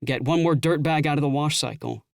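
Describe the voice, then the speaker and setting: An actor playing a youthful, keen police detective with slangy, chummy delivery in an audiobook drama. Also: male voice, fairly high pitch, a little fast, creaky, no room sound, clean studio sound. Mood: keen, frustrated. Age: young adult. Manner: slangy, casual, eager. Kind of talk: full-cast audiobook drama acting.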